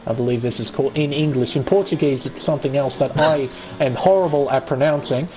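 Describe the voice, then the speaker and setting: A man's voice: mid-range voice, fairly quick, warm tone, a little hiss or hum, rough recording. An Australian man giving chatty, enthusiastic live play-by-play commentary on an online racing league qualifying session. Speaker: esports race commentator.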